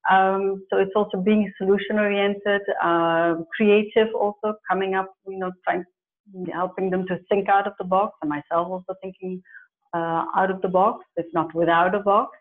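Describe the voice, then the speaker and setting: A woman's voice: mid-range voice, fairly even intonation, moderate pace. A woman in her forties whose first language is Dutch, a career coach answering questions thoughtfully on a video call from her home office, with many fillers and restarts. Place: home office with plants and pictures. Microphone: earphone mic on a video call. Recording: phone-like narrow sound.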